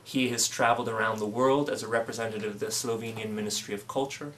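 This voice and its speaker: Formal, measured male interviewer. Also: fairly low pitch, fairly even intonation, fairly bright tone, slight background noise. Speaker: interviewer, author. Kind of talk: scripted introduction and interview questions.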